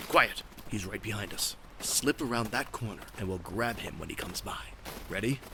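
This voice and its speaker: An actor playing an earnest, stuffy caped crime fighter with a formal, straight-laced manner in a comedy radio play. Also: male voice, fairly low pitch, expressive, moderate pace, noisy background. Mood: guarded.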